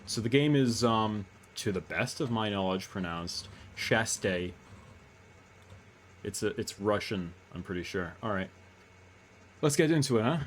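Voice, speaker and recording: A man with a fairly low voice plays host on a gaming video. He keeps it low-key and casual, commenting as he goes and reading game text aloud, sometimes halting over tricky words. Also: expressive, a little hiss or hum.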